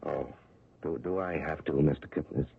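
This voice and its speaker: A man's voice: very deep voice, steady tone, moderate pace, vocal fry, husky, heavily acted. An actor in an old-time radio drama playing a timid, dutiful, hesitant and stammering male office clerk. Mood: deferential, reluctant. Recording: dull lo-fi radio recording.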